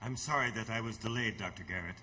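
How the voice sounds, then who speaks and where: An actor playing a mysterious, earnest young doctor in radio theatre, speaking solemnly and gently. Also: male voice, lowish voice, fast talker, over loud music, close to an everyday voice. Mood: calm, knowing. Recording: clear background noise, clicks.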